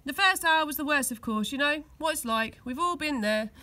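A woman's voice: sits high, expressive, normal speed, pushed and bright. A woman reading a children's story aloud expressively, recorded outdoors. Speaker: school teaching assistant reading aloud. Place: outdoors on grass.

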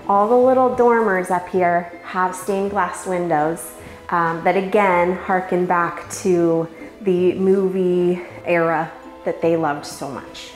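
Lady in her 40s showing a house, upbeat, medium pitch, music under her. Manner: enthusiastic. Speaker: real estate agent presenting a home tour. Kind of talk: upbeat promotional presentation to camera. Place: house porch and empty wood-panelled rooms. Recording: roomy echo, music underneath. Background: background music.

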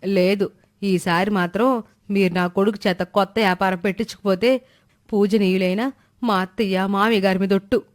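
Woman voicing a doting, dramatic older mother, lower voice. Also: fairly even intonation, moderate pace, close to an everyday voice. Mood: pleading, stubborn. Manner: scolding, pleading, dramatic. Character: doting, nagging older mother who pleads and threatens. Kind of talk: moral story character voice.

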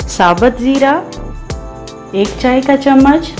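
A woman's voice: high-pitched, slow pace. A woman with a Pakistani Urdu accent hosting a cooking show, giving patient step-by-step recipe instructions with enthusiasm for the dish. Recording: voice-over with faint background music.

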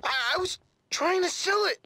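An actor playing a spoiled young son in a video game, whining and stammering frantically. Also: male voice, very high voice, moderate pace, pushed and bright, no echo. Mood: panicked.

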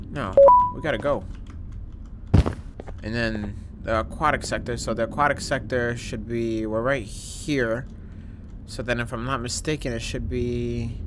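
A man in his twenties giving casual, slangy, jokey gaming commentary with some swearing and excitable reactions. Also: mid-range voice. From Dominican background. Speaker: gaming video host. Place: indoor room, gaming setup. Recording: noticeable background game noise.

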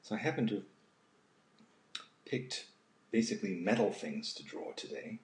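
A man in his sixties hosting a casual live drawing session, speaking haltingly and thoughtfully and often trailing off into a murmur. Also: fairly low pitch, big pitch swings. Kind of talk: unscripted casual talk while drawing. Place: home kitchen, indoors. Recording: roomy echo, faint background noise.

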